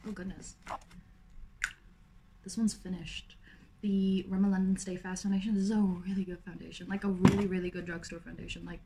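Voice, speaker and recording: A woman's voice: medium pitch, little pitch movement, a little fast, long pauses, background noise. A woman in her twenties, chatting casually while doing her makeup in a small room. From South Africa. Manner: chatty, upbeat, talks while applying makeup. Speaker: beauty vlogger. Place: small indoor room with pink wall.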